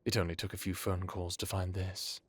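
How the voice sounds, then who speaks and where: A man playing an ancient, courteous, world-weary vampire lord in an audio roleplay, speaking in a measured, knowing, genteel way. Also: deep voice, flat delivery, husky, no room sound, clean studio sound. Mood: grave, knowing. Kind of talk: dramatic audio roleplay acting.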